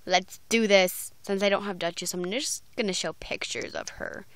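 A woman's voice: mid-range voice, fairly even intonation, moderate pace, a bit bright, no room sound. A woman chatting casually and playfully, rambling in a goofy, self-mocking way. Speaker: amateur voice actor vlogger.

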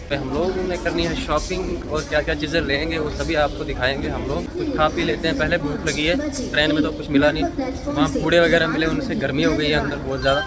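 A man, chatting to viewers as he vlogs his trip into a handheld phone camera. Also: on the high side. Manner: chatty, keeps addressing viewers. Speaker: travel vlogger. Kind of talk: handheld travel vlog narration. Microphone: phone camera held at arm's length. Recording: loud traffic and crowd noise.

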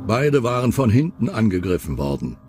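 A man's voice: fairly low pitch, very animated, normal speed. A man narrating a true-crime documentary in a dramatic, suspenseful scripted style. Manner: suspenseful, rhetorical questioning. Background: background music.

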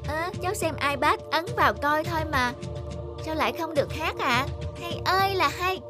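A woman's voice: sits high, normal speed, over music, natural voice. A woman voicing a cheeky, innocent small child in a cartoon, with a childlike, protesting manner. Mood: innocent, puzzled. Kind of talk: cartoon dubbing.

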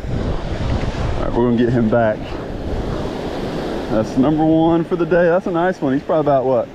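A man, hosting a casual fishing vlog, chatty and excitable when a fish hits, filmed on an action camera outdoors on a beach. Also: on the high side, moderate pace, dull audio. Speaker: fishing channel host. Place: open ocean beach outdoors in wind and rain. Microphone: action camera, chest or handheld. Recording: windy beach with loud surf noise.

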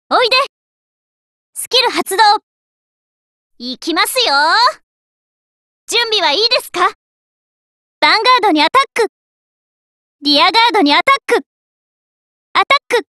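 Earnest, sweet teenage girl card fighter for an anime game; piercingly high, bright delivery, dry studio sound. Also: no echo, heavily acted. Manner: sweet, eager, emphatic called-out phrases.

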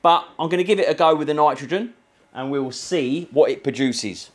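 A man's voice: a little high, normal speed. A man in his forties with a British accent, explaining a repair step by step in a casual, matey way. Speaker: car mechanic and video presenter. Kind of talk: hands-on how-to demonstration, casual. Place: cluttered car repair garage, indoors. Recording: clear workshop background noise.

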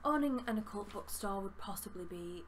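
A woman's voice: medium pitch, expressive, normal speed. Chatty young Yorkshire woman. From Yorkshire, England. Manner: chatty, enthusiastic, rambling with fillers. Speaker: book reviewer. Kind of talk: casual book review vlog. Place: small room with bookshelves, indoors.

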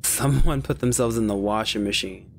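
A man's voice: on the low side, moderate pace, creaky, a little hiss or hum, low-quality recording. A man streaming a video game, chatting casually and swearing freely as he plays. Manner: laid-back, swears freely. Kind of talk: casual gameplay commentary.

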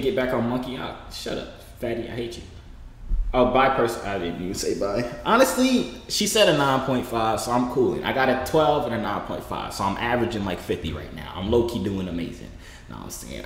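A man's voice: mid-range voice, very animated, brisk, roomy sound, long reverb tail. Playful young man, teasing slang chat. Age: young adult.

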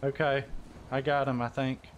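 A man's voice: mid-range voice, little pitch movement, normal speed, muffled recording. A man streaming a video game, chatting casually in a jokey, folksy, exclaiming way. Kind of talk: casual gaming chat. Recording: game gunfire and vehicle noise behind. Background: video game sound effects.

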